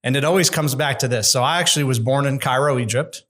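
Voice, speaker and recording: Middle-aged guy in an interview, calm and candid, thinks before he talks, plain everyday voice. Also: mid-range voice. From United States. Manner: measured, candid, thoughtful. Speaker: construction executive guest. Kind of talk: conversational interview.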